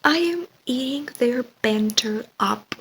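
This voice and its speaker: A woman's voice: on the high side, expressive, slow pace, a bit bright, a little hiss or hum. A young woman, for whom English is a third language, chatting casually and gushingly about books in a reading vlog. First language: not English; English is her third language. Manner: chatty, gushing, restarts often. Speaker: book vlogger.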